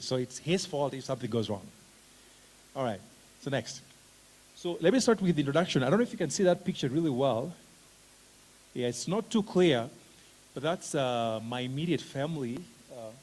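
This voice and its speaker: A middle-aged Kenyan man with a Kenyan accent giving a relaxed, joking conference talk as a professor of special education, with plenty of "uh" fillers. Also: medium pitch. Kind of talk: conference talk, self-introduction. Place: conference hall.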